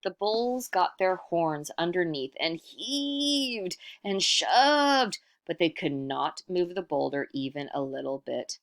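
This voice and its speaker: Low-pitched woman reading a story aloud. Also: slow pace, hardly any pauses. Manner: animated, asks the listener questions. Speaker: storybook reader. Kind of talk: expressive read-aloud narration.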